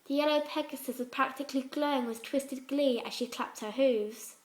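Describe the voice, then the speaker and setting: A woman reads horror fan fiction as its narrator. Her voice is notably high in pitch. The delivery is scripted and dramatic, as storytelling. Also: steady tone, normal speed.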